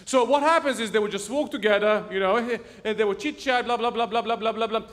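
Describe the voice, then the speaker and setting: A man preaches a lighthearted sermon, with a voice that sits notably high for a man. He spins self-mocking stories and chuckles at his own punchlines, in a relaxed storyteller's way. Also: quick pace. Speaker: pastor. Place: church sanctuary.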